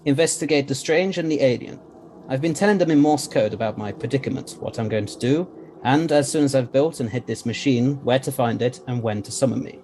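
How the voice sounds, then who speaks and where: A man playing an eccentric, confident time-travelling gentleman scientist in an audio drama, courteous and explanatory, with old-fashioned phrasing. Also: mid-range voice, fairly quick, slight background noise, natural voice.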